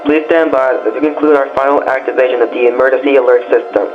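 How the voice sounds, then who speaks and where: A man's voice: medium pitch, little pitch movement, fast talker. A man reading a scripted emergency broadcast announcement in a formal, solemn manner. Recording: dull lo-fi broadcast, loud music behind.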